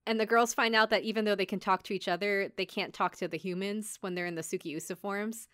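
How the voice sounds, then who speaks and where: Clear-voiced woman chatting on a podcast. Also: mid-range voice, fairly even intonation, fast, fairly bright tone, dry recording, studio quality. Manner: informal, acts out characters' lines in passing. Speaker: podcast host recapping anime episodes. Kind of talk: casual chatty recap.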